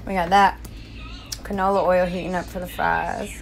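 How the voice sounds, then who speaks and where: A woman's voice: fairly low pitch, little pitch movement, normal speed, vocal fry, poor audio. Chatty young woman cooking at home. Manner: chatty, talks to camera while cooking. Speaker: cooking vlogger. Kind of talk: casual cooking narration. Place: home kitchen, indoors. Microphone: phone held or propped near stove. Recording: home phone audio with kitchen noise.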